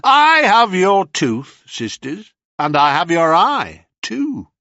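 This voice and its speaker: A cocky young hero, played by a man, teasing his captives with a mocking, playful lilt. His pitch swings widely while the pace stays unhurried, and the recording sounds dry and a little dull. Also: huge pitch swings, fairly bright tone, dry recording, heavily acted.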